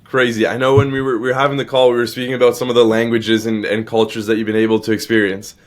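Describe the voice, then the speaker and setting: A man's voice: mid-range voice, flat delivery, fast. A man hosting a podcast, asking casual questions and repeating words as he sets them up. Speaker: podcast host.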